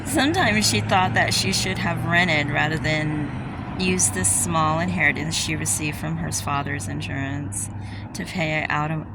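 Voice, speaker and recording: An informal woman reads fiction aloud like an amateur audiobook narrator, slipping easily into chatty asides. Her voice sits low and has a gravelly fry to it. There is clear ambient noise behind her, like vehicles. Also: measured pace. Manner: informal, interrupts own reading to comment. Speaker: amateur audiobook reader and vlogger. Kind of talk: reading a romance novel aloud with casual personal asides.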